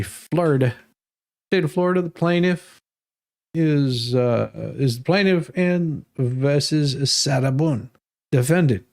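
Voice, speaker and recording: A man's voice: medium pitch, a little mellow. A man hosting a livestream, reading a legal document aloud in a dramatic, sarcastic manner with mocking asides. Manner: sarcastic, stumbles over long legal words. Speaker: livestream host.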